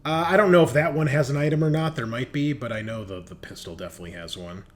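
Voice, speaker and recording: A man's voice: medium pitch, quick pace, a little hiss or hum. A man narrating a tabletop roleplaying game as game master in a conversational, casually sweary way.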